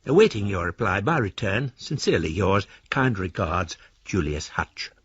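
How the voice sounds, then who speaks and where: An actor playing a verbose, self-satisfied elderly British gentleman reading his florid letters in a radio drama. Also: low-pitched, big pitch swings, moderate pace, breathy edge, dry recording, dull audio, exaggerated voice. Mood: politely astonished. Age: older. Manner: pompous, florid, formal.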